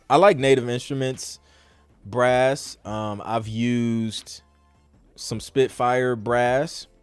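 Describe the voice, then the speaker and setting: A man with a fairly low voice hosts a casual Q&A livestream as a music producer. His delivery is informal and slangy, like easy conversation. The sound is close and dry, with faint music underneath. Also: no room sound. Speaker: podcast host and music producer.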